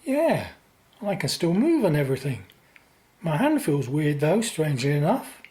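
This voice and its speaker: Radio drama part, played by a woman: uneasy, conversational character, mellow lowish voice, lively intonation. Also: moderate pace. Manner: informal, conversational.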